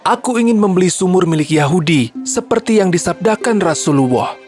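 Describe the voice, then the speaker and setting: A man voicing an eager, generous, persuasive buyer in an animated children's story. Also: sits high, fairly quick, soft background music, a faint crowd. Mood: earnest. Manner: earnest, persuasive. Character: generous, eager, good-hearted male buyer.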